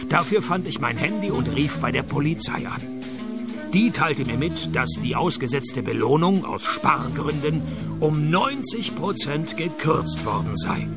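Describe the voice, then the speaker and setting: An actor playing a laconic, world-weary private detective with dry, deadpan delivery in a hard-boiled radio play. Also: male voice, a little high, a little slow, loud music behind the voice. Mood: wry, weary.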